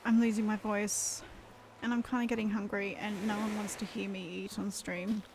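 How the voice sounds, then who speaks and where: A woman's voice: mid-range voice, level tone, moderate pace, creaky, over music. A woman chatting casually on a game live stream, losing her voice and rambling as she thinks aloud.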